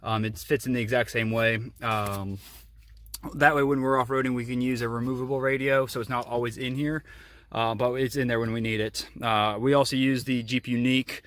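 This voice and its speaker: A man's voice: medium pitch, steady tone, a little fast, fairly bright tone. A man hosting a casual how-to video, explaining step by step as he demonstrates. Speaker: host of a DIY vehicle video.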